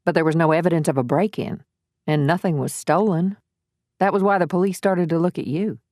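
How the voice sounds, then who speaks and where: Low-voiced, soothing woman acting a lawyer. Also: steady tone, darkish tone, no room sound, studio quality, lightly acted. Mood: concerned. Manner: measured, reassuring. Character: earnest, soothing female defense lawyer. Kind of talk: dramatic audiobook character dialogue.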